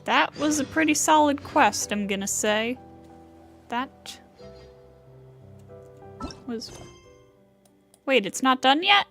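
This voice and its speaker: High-voiced woman streaming a game. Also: takes it slowly, long pauses, fairly bright tone, faint music behind. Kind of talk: casual livestream commentary.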